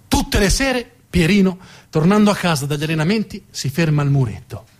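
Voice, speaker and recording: A man's voice: a little high, very animated, fairly quick, soft background music. A man performing a narrative theatre monologue, emphatic and theatrical, repeating words for effect. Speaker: storytelling stage actor.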